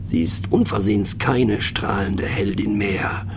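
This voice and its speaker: An actor playing a pensive, mocking narrator in a radio play, musing rhetorically on abstract words and addressing the listener in wry asides. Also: male voice, lowish voice, fairly even intonation, a little slow, gravelly, background noise. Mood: wry, confiding.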